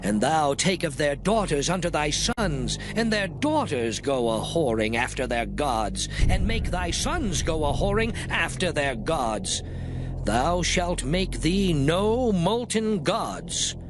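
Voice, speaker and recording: Moses laying down the law, slow, pitch swinging all over, music loud behind. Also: male voice, sing-song, noisy background. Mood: commanding. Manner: solemn, commanding declamation. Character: Moses, stern male prophet giving the law. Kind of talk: dramatized scripture reading. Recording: studio audio drama with loud music behind.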